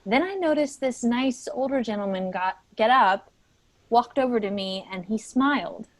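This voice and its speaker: A guilt-ridden, easily wounded female office worker, played by a woman in a staged reading. Her voice sits fairly high. The sound is dry, with almost no room around it. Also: expressive, normal speed, no echo, lightly acted. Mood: wistful.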